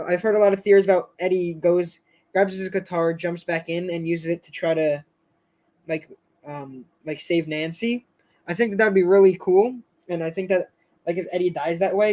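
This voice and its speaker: A man hosting a casual live stream, chatting with viewers in a rambling, hesitant way full of fillers and restarts, his voice going a bit hoarse. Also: high voice. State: slightly hoarse, losing his voice.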